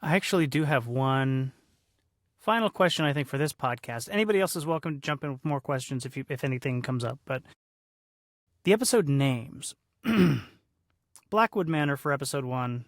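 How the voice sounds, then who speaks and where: A man with a mid-pitched voice hosts a casual podcast conversation. He sounds informal and enthusiastic, recorded close with hardly any room sound. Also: lively intonation, moderate pace, no room sound. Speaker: podcast host and cast member.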